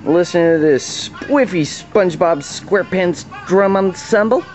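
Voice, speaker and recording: A man's voice: sits high, unhurried, darkish tone. A plain-spoken man giving an informal, slangy, hands-on tutorial on repair work. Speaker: DIY auto body repair demonstrator. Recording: dull lo-fi audio, radio music behind. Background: radio music playing in the background.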